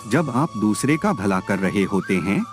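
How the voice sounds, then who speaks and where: Mythological story deity, played by a man: serene, didactic, formal, expressive intonation, music bed. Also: lowish voice, unhurried. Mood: serene teaching. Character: serene, wise male god teaching his disciple.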